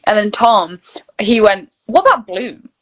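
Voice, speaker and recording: A woman's voice: mid-range voice, big pitch swings, measured pace, a bit bright. A woman chatting casually as an interview guest, informal and self-deprecating as she thinks aloud. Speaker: interview guest, actor and voice reel studio founder. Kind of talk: casual chat.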